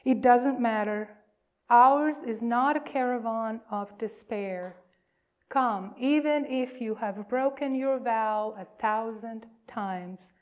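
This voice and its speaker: A woman leads a church service as its minister. Her voice sits a little high and moves very slowly. She reads with reverence, stressing phrases and leaving deliberate pauses. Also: a little mellow. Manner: measured, emphatic, with deliberate pauses. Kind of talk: worship address and reverent reading.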